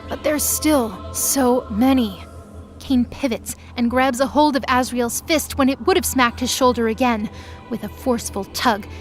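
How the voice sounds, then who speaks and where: Female narrator, fairly high voice, dramatic scripted storytelling over clear background music.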